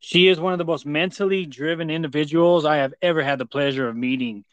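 A man's voice: fairly high pitch, fast, fairly bright tone, smooth, no echo, highs cut off. An American man hosting a casual interview, talking effusively in a rambling way with many repeats. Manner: effusive praise, rambling, repeats "you know". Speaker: podcast host.

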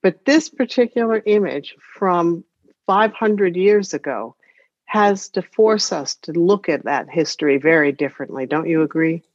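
A woman chairing a podcast discussion, speaking in a reflective, deliberate way. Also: fairly low pitch, lively intonation, no echo. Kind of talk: measured panel discussion. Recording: dull, dry lo-fi connection.

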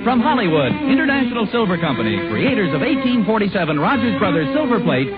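A man's voice: high-pitched, very animated, fast. An American man giving a scripted 1940s radio show introduction, on a tinny old broadcast. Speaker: radio announcer. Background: loud music.

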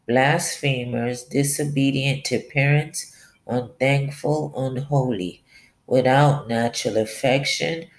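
A woman's voice: extremely low pitch, slow. A woman reading scripture and then giving a plain, earnest devotional talk on a podcast, her voice nasal and sniffly from a stuffy nose. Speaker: podcast preacher.

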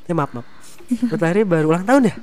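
Young guy podcast host, stuffy nose, rattles along quick, teasing. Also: a little high, lively intonation, darkish tone. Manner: playful teasing. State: stuffy nose, slightly hoarse. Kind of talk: casual podcast chat. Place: coffee shop.

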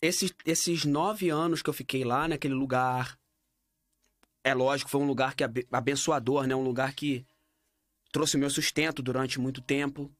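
Halting Brazilian man, casual chat. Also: medium pitch, steady tone, no echo, clean studio sound. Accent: Brazilian Portuguese. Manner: halting, repeats words and restarts often. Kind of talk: casual conversation.

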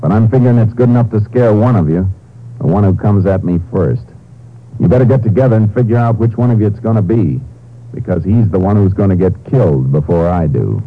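A man's voice: on the low side, a little fast, noisy background, natural voice. A man with an American accent playing a tough, terse, dry-witted Texas Ranger in a 1950s radio drama. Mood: defiant, steely. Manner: terse, steely. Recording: narrow-band old radio broadcast, music behind.

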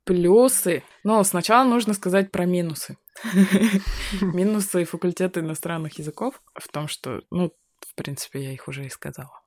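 Low-voiced young woman, wry and casual. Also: moderate pace, no echo, studio quality. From Buryatia, Russia. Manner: laughing, ironic, swears casually. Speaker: guest. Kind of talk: casual chat.

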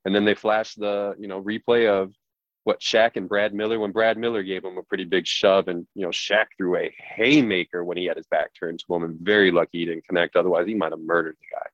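A man's voice: deep voice, steady tone, brisk, dry recording. An American man co-hosting a casual sports show, giving blunt, opinionated takes in a conversational way over a dull, lo-fi microphone. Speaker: sports talk podcast co-host.

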